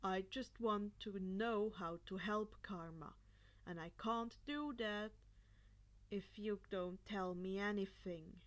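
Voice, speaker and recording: A woman performs a grown male hero from an audio story, his voice dropped low. He speaks carefully and calmly, like a patient teacher set on reassuring someone. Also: takes it slowly, lightly acted. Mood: gentle, coaxing.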